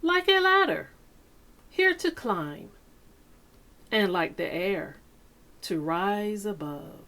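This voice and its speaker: A woman reading a picture book aloud to children like a teacher, with deliberate, dramatic phrasing and pauses. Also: medium pitch, sing-song, sharp tone. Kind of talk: expressive children's read-aloud.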